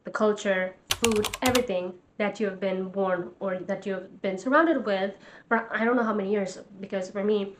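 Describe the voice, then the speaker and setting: Woman, twenties, earnest and encouraging, casual vlog talk, medium pitch, faint hiss. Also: steady tone, normal speed. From Nepal. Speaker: vlogger and life coach.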